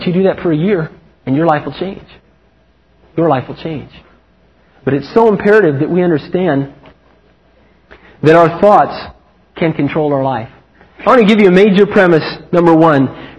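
A man preaching a lively, humorous sermon, animated and playful, acting out quotes in a higher voice. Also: husky. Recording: muffled lo-fi recording.

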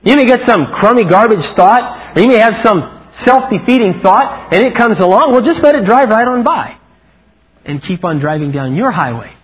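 A man preaching a lively, humorous sermon, animated and playful, acting out quotes in a higher voice. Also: breathy edge. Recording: muffled lo-fi recording.

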